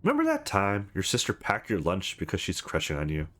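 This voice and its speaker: A man's voice: deep voice, huge pitch swings, brisk, a bit bright, exaggerated voice. Man putting on a brash teasing young woman, swooping.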